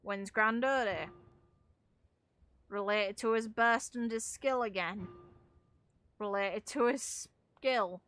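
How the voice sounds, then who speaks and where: A woman's voice: medium pitch, takes it slowly, long pauses, fairly bright tone, clear, resonant tone. A woman giving casual, opinionated video game commentary as a streamer, with some casual swearing.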